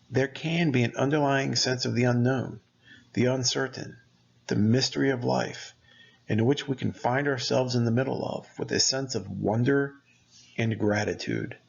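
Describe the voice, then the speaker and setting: Gravelly-voiced man guiding a meditation. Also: mid-range voice, lo-fi sound. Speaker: meditation guide. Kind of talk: guided meditation narration.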